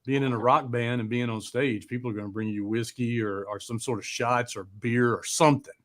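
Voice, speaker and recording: A man's voice: mid-range voice, steady tone, fairly quick, fairly bright tone. A man hosting a casual live chat in a rambling, joking manner with plenty of swearing. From American South. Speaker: podcast host.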